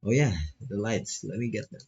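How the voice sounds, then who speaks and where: Man reading as a chatty schoolgirl roommate, low and husky. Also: normal speed, a little mellow, highs cut off, full character voice. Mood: eager. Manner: casual, eager, talkative. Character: chatty, friendly teenage girl roommate. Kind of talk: visual novel dialogue read aloud.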